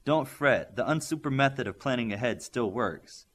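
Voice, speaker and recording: A man's voice: mid-range voice, lively intonation, normal speed, a bit bright, close to an everyday voice. A man voicing a gracious, practical adult mother who hosts young superheroes in a storybook. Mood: reassuring.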